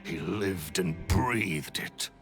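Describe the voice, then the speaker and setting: A man's voice: deep voice, drawn-out words, heavily acted. A man voicing a bitter, arrogant video game villain, a jealous magician's apprentice, in a theatrical, sneering manner. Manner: theatrical, sneering, swings into mocking imitation.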